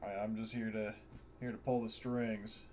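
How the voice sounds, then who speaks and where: Male tabletop player, lowish voice, thinking out loud, faint noise behind him. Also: little pitch movement, moderate pace. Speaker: player in a tabletop roleplaying game. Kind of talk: casual game chat.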